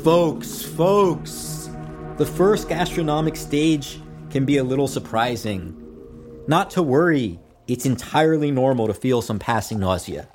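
Guy as a calm dinner host addressing guests, medium pitch, placating, faint music, audio drama. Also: unhurried, lightly acted. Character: calm male host reassuring diners at a strange feast.

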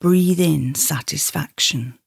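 Slow, husky woman guiding meditation. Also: low-pitched, no echo, clean studio sound. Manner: soothing, measured, instructing. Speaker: psychotherapist leading a meditation. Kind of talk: guided meditation.

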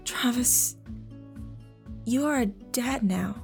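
A woman playing a loving young wife and new mother in an audio drama, soft, breathy and tender. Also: a little high, slow, faint music behind. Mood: loving, reassuring.

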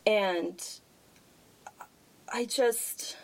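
A woman's voice: medium pitch, very slow, gravelly, low-quality recording. Earnest woman. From Ukraine. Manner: earnest and emphatic, repeats for stress. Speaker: podcast co-host. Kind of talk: casual podcast chat.

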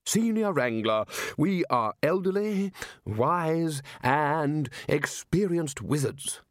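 Man acting a booming, bossy old wizard, lively pitch. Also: takes it slowly, no echo, studio quality. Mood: exasperated. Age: older. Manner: pompous, exasperated, takes charge with hesitant ums. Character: booming, bossy elderly male wizard, head of a university.

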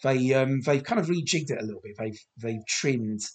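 A man hosting a podcast, chatting through a TV commentary with a slightly croaky voice, rambling and hesitant with many fillers and restarts, self-deprecating. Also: medium pitch, moderate pace. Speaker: podcast host. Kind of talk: casual film commentary.

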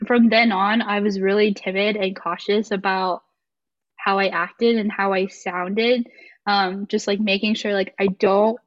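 Soft-spoken young woman, a bit muffled. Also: medium pitch, steady tone, a little slow. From United States. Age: 20s. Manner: thoughtful, hesitant, many fillers. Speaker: podcast guest. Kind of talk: casual podcast conversation. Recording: slightly muffled lo-fi audio.

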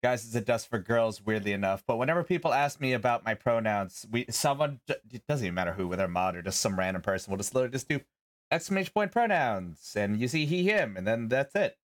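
A man's voice: medium pitch, animated, quick pace. A male streamer chats casually on a podcast in a mid-range voice. His talk rambles, and he keeps cutting off and restarting his sentences. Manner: rambling, self-interrupting. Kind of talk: casual chat.

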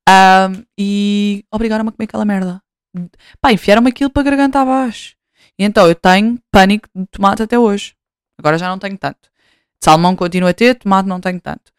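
Rambling Portuguese woman, smooth and dry. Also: medium pitch, brisk, long pauses, no room sound. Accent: European Portuguese. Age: 20s. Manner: rambling, swears freely, self-mocking. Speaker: podcast host. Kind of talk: casual chat.

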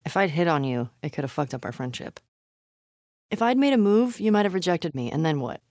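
Tough, expressive young woman survivor, sweary. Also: low voice, fairly quick, dry recording, clean studio sound. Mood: casual, reflective. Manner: blunt, sweary, wry. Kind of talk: naturalistic video game voice acting.

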